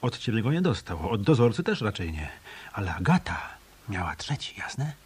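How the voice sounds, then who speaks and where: An actor playing a cool, tough hotel detective in a Polish radio play, speaking tersely, with an unflappable, commanding manner. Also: male voice, on the low side, sing-song, normal speed, a little mellow, husky, heavily acted. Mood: businesslike, firm.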